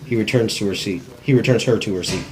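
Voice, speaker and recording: A man's voice: on the low side, fast talker. A man reading stage directions in an amateur cold table read, plainly and with stumbles over words. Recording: faint background noise.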